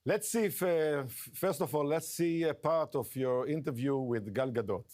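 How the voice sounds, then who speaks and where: A man with a Palestinian accent hosting a TV interview, asking questions haltingly and searching for words. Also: fairly high pitch, a little mellow.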